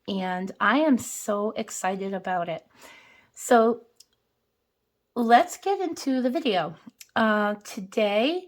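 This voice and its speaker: A hoarse woman hosting a casual budgeting vlog, chatting and counting bills aloud. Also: mid-range voice. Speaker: budgeting channel host. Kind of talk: casual cash-stuffing vlog.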